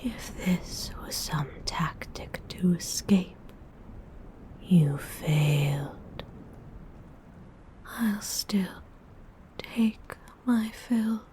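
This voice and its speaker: A woman voicing a haughty, seductive female vampire in a breathy, teasing whisper for an ASMR roleplay. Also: lowish voice, lively intonation, dark tone. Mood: disdainful, intrigued. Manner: slow, haughty, teasing, formal wording.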